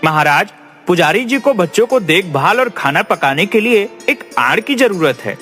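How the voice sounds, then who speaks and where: A man's voice: sits high, very animated, moderate pace, bright, forceful tone, soft background music, full character voice. A man voicing a polite, wise young man who reasons respectfully before a king in a cartoon story. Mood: earnest. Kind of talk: dubbed cartoon acting.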